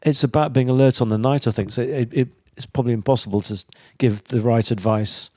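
A man, a pun comedian, chatting casually in an interview in a thoughtful, slightly halting way. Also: medium pitch, fairly even intonation, darkish tone, no echo, studio quality.

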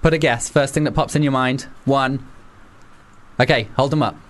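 A man's voice: medium pitch, fairly even intonation, moderate pace. A young man hosting a podcast quiz, playful and teasing, laughing often. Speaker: podcast host. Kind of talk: casual chat, quiz game.

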